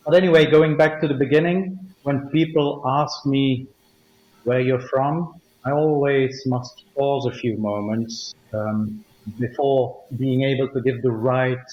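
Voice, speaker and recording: A man, a native Dutch speaker, answering interview questions in a thoughtful, hesitant way with many pauses. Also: mid-range voice, steady tone, darkish tone, roomy sound, lo-fi sound. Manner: thoughtful, hesitant with frequent ums. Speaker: guest, company legal officer.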